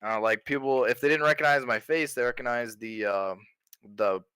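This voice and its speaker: A man with a deep voice that still has a bright, forceful edge, talking as a guest in a casual interview. He speaks loosely and leans on "like" constantly. The sound is dry and close, with no room around it. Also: normal speed, clear, resonant tone, no echo. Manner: laid-back, loose, leans on "like" a lot. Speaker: streamer being interviewed.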